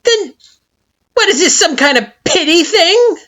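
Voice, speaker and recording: A woman voicing a small spiteful male flower creature with a high-pitched, derisive, mocking voice. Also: animated, measured pace, fairly bright tone, exaggerated voice. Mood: scornful. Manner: shrill mocking sing-song, taunting.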